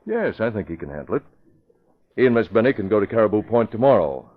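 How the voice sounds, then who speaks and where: An actor playing a calm, decisive young newspaper publisher, in an old radio drama. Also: male voice, fairly low pitch, fast. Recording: thin phone-like old radio recording.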